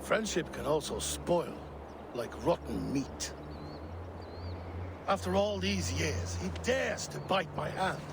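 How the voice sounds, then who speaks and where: An actor playing a proud, scheming Viking jarl who speaks in a grand, theatrical way. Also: male voice, a little high, animated, takes it slowly, vocal fry, long pauses, background noise, heavily acted. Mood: brooding.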